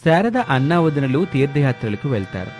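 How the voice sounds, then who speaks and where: A man's voice: mid-range voice, sing-song, brisk, darkish tone, faint music behind. A man narrating a scripted moral story.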